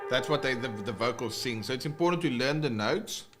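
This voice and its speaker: A man's voice: medium pitch, expressive, a little fast. A man with a South African accent, teaching guitar in a casual, rambling livestream with many fillers and restarts.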